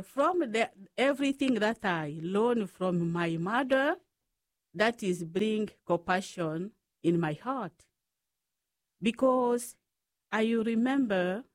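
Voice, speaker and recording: A woman with a Congolese accent speaks slowly as an interview guest, recounting her life in short, halting phrases. Her pitch is medium for a woman and the sound is dry and close. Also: no echo. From Democratic Republic of the Congo. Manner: halting phrases in non-native English grammar. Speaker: guest, Franciscan sister. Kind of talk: personal storytelling in an interview.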